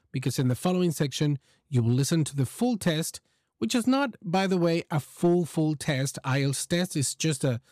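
A man's voice: medium pitch, darkish tone, no echo, clean studio sound. A man hosting and explaining to listeners in an informal way, often repeating and rephrasing himself. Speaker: host, English exam teacher giving feedback. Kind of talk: casual explanatory podcast-style talk to listeners.

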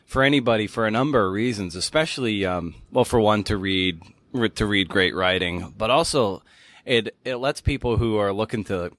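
A man's voice: lowish voice, moderate pace, a bit bright, no room sound. A man working as a podcast host, moving between scripted introduction and casual interview talk.

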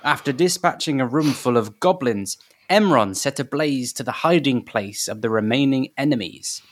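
A man narrating a recap of last week's tabletop adventure in a measured storytelling way. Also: mid-range voice, lively intonation.

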